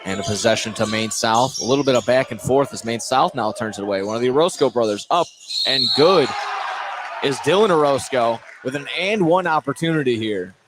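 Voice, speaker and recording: A man's voice: mid-range voice, lively intonation, fairly quick. A man doing live play-by-play commentary of a basketball game as the announcer. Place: indoor high school gym. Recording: noisy gym ambience, bouncing balls.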